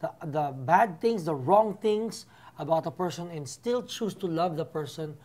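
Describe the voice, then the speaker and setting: A Filipino-accented man in his thirties hosting a casual celebrity interview in an expressive, effusive way. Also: fairly high pitch, moderate pace. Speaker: talk show host. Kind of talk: casual celebrity interview, mixing English and Tagalog. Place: furnished bedroom set, seated on a bed.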